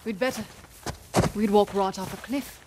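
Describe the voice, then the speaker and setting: An actress playing a guarded, practical mercenary in a video game, speaking in clipped, dry, deadpan lines. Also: female voice, medium pitch, normal speed, noisy background, lightly acted. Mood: alert, dry. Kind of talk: naturalistic video game cutscene acting.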